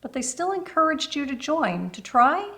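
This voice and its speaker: A woman's voice: high voice, sing-song, moderate pace, pushed and bright, voice pushed up, heavily acted. A woman voicing a kind, encouraging older neighbor lady from a children's storybook, folksy and affectionate. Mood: gently reassuring. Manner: encouraging, folksy, calls listener honey. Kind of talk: children's storybook read-aloud.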